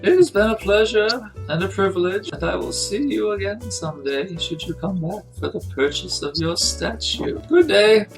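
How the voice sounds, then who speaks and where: A man voicing a slow, overly proper male butler with a drawn-out, formal delivery. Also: high voice, expressive, faint music behind, pitched above the performer's own voice, poor audio. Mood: solemn.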